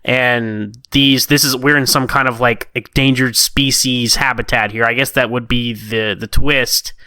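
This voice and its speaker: A man co-hosting a podcast in casual review chat, skeptical and halting as he works through his points. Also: medium pitch, fairly even intonation, bright, forceful tone, clean tone, no echo. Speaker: podcast co-host.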